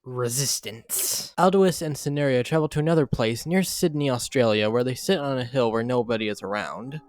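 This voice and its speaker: A man's voice: mid-range voice, normal speed, a little mellow, no echo, studio quality. A man reading scripted scene narration for an amateur audio drama.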